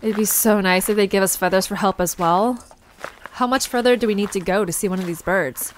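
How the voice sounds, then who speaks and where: A woman playing an excitable, slightly nervous young adventurer in an audio drama, with an expressive manner. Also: mid-range voice, a little fast, a little hiss or hum, natural voice, poor audio. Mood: curious.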